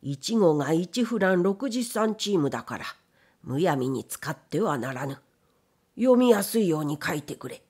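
A woman's voice: lowish voice, big pitch swings, normal speed, a little mellow, husky, heavily acted. A woman voicing a stern, probing old factory owner in an audiobook, with blunt, imperious, old-fashioned speech. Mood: businesslike, commanding. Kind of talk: audiobook character reading.

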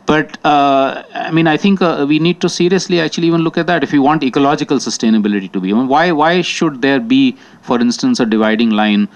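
A man's voice: mid-range voice, fast talker, few pauses. A man from India, speaking as a panelist and making his points thoughtfully with many fillers and restarts. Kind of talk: panel discussion remarks. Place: conference hall.

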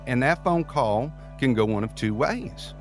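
An actor plays a cocky man who wheedles and dodges in an audio drama. His mid-pitched voice is very animated, with music behind it. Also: unhurried, lightly acted. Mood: cocky, wheedling. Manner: flippant, wheedling. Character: cocky, evasive, smooth-talking man bargaining his way out. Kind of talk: scripted audio drama acting.

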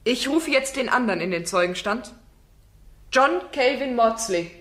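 An actress playing a formal, composed defence barrister in a radio play, questioning in a measured manner. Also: female voice, a little high, expressive, fairly bright tone. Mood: formal, solemn.

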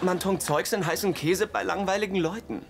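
A man's voice: sits high, brisk, creaky. A wry, joking young guy. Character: joking young man, easygoing and sarcastic. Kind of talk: German TV dub. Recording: music behind the dialogue.

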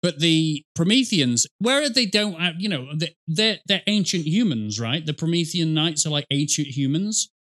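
A man in his thirties reacts to a video game in a fairly high voice. He talks casually and rambles, doubling back to correct himself, with some swearing. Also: animated, moderate pace, few pauses, a bit bright. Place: small indoor room at a desk with headset.

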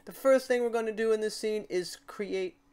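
A man hosting a casual software tutorial, informal and halting as he thinks aloud. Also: high voice, clear, resonant tone. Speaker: tutorial host. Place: indoors at a computer, keyboard typing behind.

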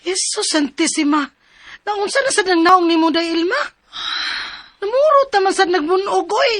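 An exasperated friend frets and scolds in a Cebuano radio drama. Her extremely high, bright voice has a Filipino accent and exclaiming delivery, recorded dry and close. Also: normal speed, dry recording. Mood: shocked concern. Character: scolding, shocked woman friend, exasperated and caring.

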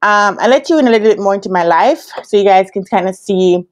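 Jamaican woman in her thirties, relaxed chatty vlogger speaking into a laptop webcam in a hotel room. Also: medium pitch, fast. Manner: chatty, laughs often, talks straight to viewers. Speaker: vlogger and business analyst coach. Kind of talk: casual storytelling vlog. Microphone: laptop webcam at desk.

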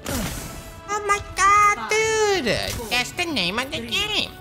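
A man in his thirties streaming games, chatting casually with loud profanity and excitable trash talk. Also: extremely high pitch, slow, music in the background. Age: 30s. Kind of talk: casual gaming stream chat.

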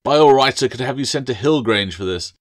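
A man's voice: mid-range voice, animated, brisk, pitched above the performer's own voice, natural voice. A man voicing a stern, pompous older schoolmaster with a snapping, sarcastic manner, in an audiobook fantasy tale. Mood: sarcastic scolding.

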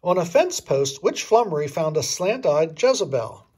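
A man's voice: a little high, sing-song, moderate pace, darkish tone, slight background noise. An older man reading a children's storybook aloud expressively, as a grandfather would.

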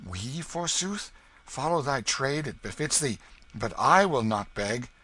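A man's voice: mid-range voice, animated, unhurried, pushed and bright, husky, voice pushed up, full character voice. A man voicing a haughty, indignant boy king in a storybook, giving imperious, clipped retorts in archaic speech.

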